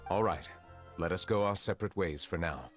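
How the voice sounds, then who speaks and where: An actor playing a grave, composed high official in a video game, speaking in a formal, measured and serious manner. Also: male voice, loud music behind the voice, natural voice. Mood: calm, concerned.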